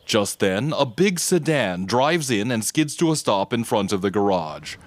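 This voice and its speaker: A man's voice: fairly low pitch, big pitch swings, unhurried. A man reading scripted narration for an audio drama.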